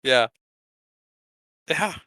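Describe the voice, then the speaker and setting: A man's voice: extremely slow, pushed and bright, dry recording, clean studio sound. A man co-hosting a podcast, presenting a countdown list in casual chat with an informal, hesitant delivery. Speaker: podcast co-host.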